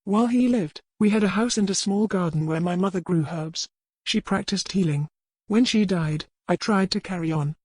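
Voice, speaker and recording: A woman's voice: lowish voice, normal speed, darkish tone, slightly rough, no room sound. A woman voicing a wronged, defiant old healer woman in an audiobook historical tale.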